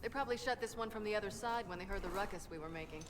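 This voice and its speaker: A woman playing a cocky, quick-witted female dwarf inventor in a video game, dry and boastful. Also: medium pitch, noisy background, close to an everyday voice. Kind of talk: video game character acting.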